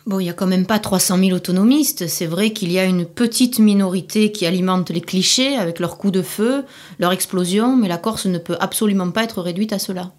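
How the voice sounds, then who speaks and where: A woman with a southern French accent talks in an unscripted interview. Her voice sits at an ordinary middle pitch. She explains things at length in a measured, thoughtful way. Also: darkish tone. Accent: southern French (Midi). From Corsica. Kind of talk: unscripted interview answers for a language course.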